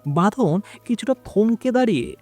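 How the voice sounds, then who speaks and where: A man narrating a scripted horror story in a dramatic, expressive storytelling manner. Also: sits high, normal speed. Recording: music behind the voice. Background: background music.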